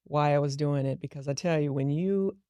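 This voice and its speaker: A woman's voice: deep voice, fairly quick, dark tone, smooth, no echo. A middle-aged woman, a business founder, telling a personal story earnestly and reflectively in an interview. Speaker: guest entrepreneur.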